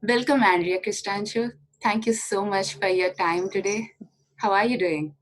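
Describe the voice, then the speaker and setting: A woman hosts a video interview in a voice of average pitch. She speaks politely and formally, and nudges her guest along with brief, encouraging reactions. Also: normal speed, rough recording. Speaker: interview host.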